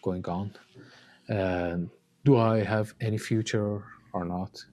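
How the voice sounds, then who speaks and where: Slow, deep-voiced Iranian man, hesitant. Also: fairly even intonation, dark tone. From Iran. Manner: hesitant, pausing with many uh fillers. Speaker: artist guest. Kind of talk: casual interview chat.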